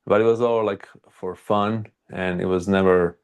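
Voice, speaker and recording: Deep, gravelly Croatian man. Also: fairly even intonation. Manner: halting, frequent hesitations and filler words. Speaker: archaeologist and video creator, interview guest. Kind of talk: casual interview storytelling.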